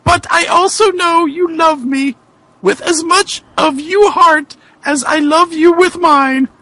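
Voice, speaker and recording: A man's voice: pushed and bright, dry recording, full character voice, poor audio. A man playing a sweet, lovestruck young woman, cooing and wailing as he pleads in a comic dramatic reading. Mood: tearful, loving. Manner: drawn-out, cooing, wailing falsetto delivery.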